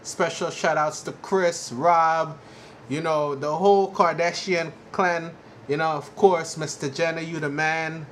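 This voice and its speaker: Man, fairly high voice, casual vlog commentary, halting and repetitive, lightly noisy amateur recording. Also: fairly even intonation, a bit bright. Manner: halting, repetitive, frequently says "you know". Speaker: fan vlogger giving a TV show reaction.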